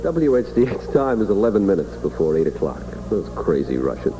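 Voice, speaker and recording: A man's voice: low voice, very animated, fairly quick, over loud music, rough recording. A man hosting a morning radio show in 1960s announcer style, reading time checks and ads. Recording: vintage 1960s radio broadcast.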